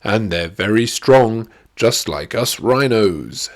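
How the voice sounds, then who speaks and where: A man's voice: fairly low pitch, lively intonation, takes it slowly. A man voicing a strong, kind male rhino zoo ranger in a children's storybook, friendly, proud and enthusiastic.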